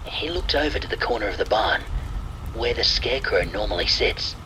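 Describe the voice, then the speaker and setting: A man reading a scripted first-person horror story in a measured, deliberate storytelling manner. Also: on the low side, poor audio. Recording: steady rain noise behind the voice. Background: rain and thunder sounds.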